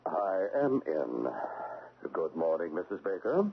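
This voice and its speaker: A man's voice: deep voice, sing-song, unhurried, vocal fry, slightly rough, exaggerated voice. An actor playing a formal, politely insistent male government agent in a radio drama. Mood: officious. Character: officious, formally polite federal postal agent. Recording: narrow-band, faintly noisy vintage radio.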